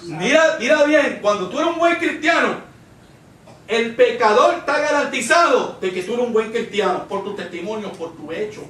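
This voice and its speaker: Puerto rican guy preaching bible study, high voice, really emphatic, repeats himself, roomy echo away from the mic. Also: expressive, normal speed, fairly bright tone. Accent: Puerto Rican Spanish. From Puerto Rico. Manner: emphatic, repeats phrases, asks the congregation rhetorical questions. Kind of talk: Pentecostal Bible-study sermon. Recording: roomy echo, distant microphone.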